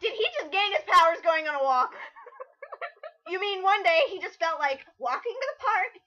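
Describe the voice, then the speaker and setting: A woman's voice: extremely high pitch, expressive, normal speed, background noise. A woman giving casual, mocking commentary on a read-aloud, incredulous and pedantic about grammar, recorded on a muffled lo-fi mic. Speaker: writer co-hosting a mock dramatic reading.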